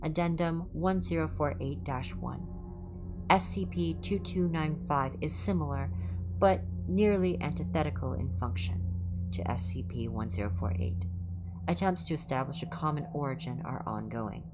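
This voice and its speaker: A woman's voice: low-pitched, moderate pace, background noise. A woman hosting a reading livestream, moving between scripted narration and casual chat, with polite, careful, formal diction. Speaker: livestream host reading horror fiction aloud.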